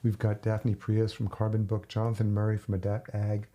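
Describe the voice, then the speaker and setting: A man's voice: on the low side, monotone, moderate pace, warm tone. A man hosting a podcast, who chats in a friendly way and laughs easily as he introduces guests. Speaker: podcast host.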